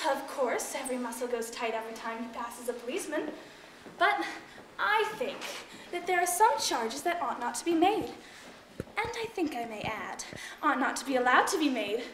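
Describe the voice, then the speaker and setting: A woman's voice: high voice, fairly bright tone. A woman acting a chatty, fretful housemaid and disgruntled actress in a theatrical monologue, with dramatic, emphatic, gossipy delivery. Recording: echoey room with faint noise and clicks.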